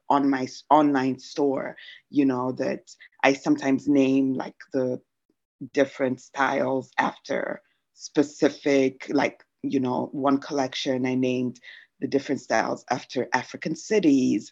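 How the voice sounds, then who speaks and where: A woman's voice: very deep voice, darkish tone. Chatty woman on dull lo-fi audio. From Democratic Republic of Congo. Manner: chatty, many fillers like um and you know. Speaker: fashion brand founder, guest. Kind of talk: casual interview answers.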